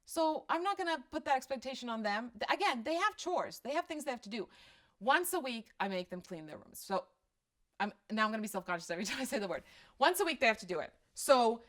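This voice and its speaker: Croatian-accented mom chatting live, candid and joking about herself, middle-aged. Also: female voice, fairly high pitch, sing-song, fast, fairly bright tone. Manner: chatty and candid, self-deprecating asides. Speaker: parenting host on a live stream. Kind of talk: casual chat, answering viewer questions.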